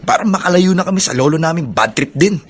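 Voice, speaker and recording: A man playing an irritable, stubborn young son in a Filipino audio drama, with a husky voice. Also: a little high, lively intonation, fast talker. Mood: annoyed. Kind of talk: Filipino radio-style drama acting.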